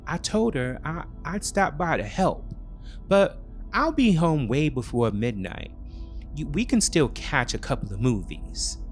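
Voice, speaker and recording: A man acts out a boyfriend in an audio thriller, pitched up and louder than narration. He talks casually and offhandedly, eager to sound helpful, with a concern that rings false. Music plays underneath. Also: lively intonation, measured pace. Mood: casual, excusing.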